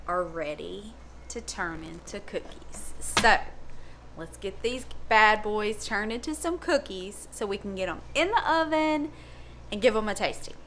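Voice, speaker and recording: A woman hosts a casual home cooking demonstration with a mid-pitched voice. She is chatty and folksy, using informal, slangy phrasing. The recording is made in a kitchen from a step or two away, with clear background noise and clicks. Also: bright, forceful tone. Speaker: home cooking show host. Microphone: camera a step or two away.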